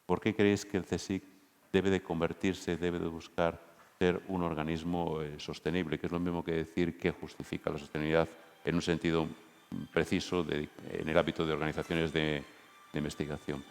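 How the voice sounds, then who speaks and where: Male moderator, Spain accent. Very low, fairly even voice, measured delivery, reverberant hall. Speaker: round-table moderator. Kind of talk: semi-formal spoken introduction to a debate.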